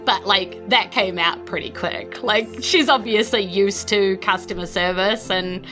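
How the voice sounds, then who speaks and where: A woman's voice: medium pitch, measured pace, music in the background. A woman with a New Zealand accent narrating a tabletop fantasy game as game master, descriptive and conversational.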